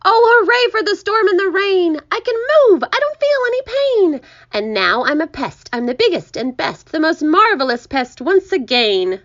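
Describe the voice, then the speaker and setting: A woman's voice: extremely high pitch, very animated, normal speed, a bit bright, highs cut off, pitched above the performer's own voice, exaggerated voice. A woman voicing a boisterous, boastful male centipede in a children's storybook, exclaiming and singing out gleefully. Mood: triumphant, boastful.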